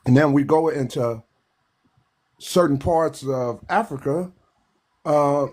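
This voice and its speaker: A man's voice: fairly high pitch, a little mellow. A man from Texas, making his point with emphasis and repeated restarts in a live panel discussion. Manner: emphatic, repetitive, halting restarts. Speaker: panel speaker.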